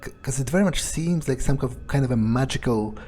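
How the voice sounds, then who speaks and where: A man's voice: mid-range voice, quick pace, a little mellow, natural voice. A man role-playing a cocky, foul-mouthed fledgling vampire in improvised video game commentary, muttering hesitantly and swearing casually. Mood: baffled, alarmed. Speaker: game streamer role-playing his character. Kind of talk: in-character improvised gameplay commentary.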